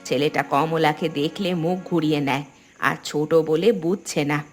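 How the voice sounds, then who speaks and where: A woman's voice: deep voice, fairly even intonation, normal speed, natural voice. A woman voicing a principled village woman in a cartoon, speaking in an earnest, moralizing way. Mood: reproving. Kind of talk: Bengali cartoon dialogue. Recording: music behind the voice.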